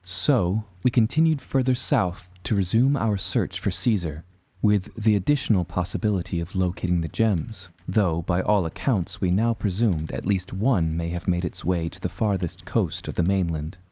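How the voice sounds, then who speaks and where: A low, mellow seafaring storyteller. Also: male voice, husky, dry recording. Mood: solemn. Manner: measured, formal storytelling. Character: earnest seafaring adventurer man recounting his voyage. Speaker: narrator and protagonist. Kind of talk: scripted first-person adventure narration.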